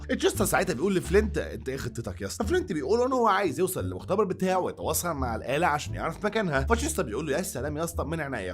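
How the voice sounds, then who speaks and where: A man with an Egyptian Arabic accent narrating a comedic, slangy film recap in an informal, joking way. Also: high voice. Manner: informal, joking asides, addresses listeners as buddies. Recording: music behind the voice. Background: background music.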